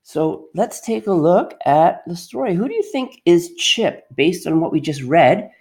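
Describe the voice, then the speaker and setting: A man's voice: high voice, animated, a little slow. A middle-aged man leading an interactive read-aloud for young children as their teacher, encouraging them and repeating his questions. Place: quiet workshop at home.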